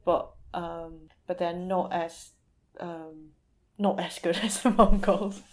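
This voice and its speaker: A woman's voice: on the low side, steady tone, a little mellow. Thoughtful woman answering as podcast guest. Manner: thoughtful, halting, searching for words. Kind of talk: conversational interview answers.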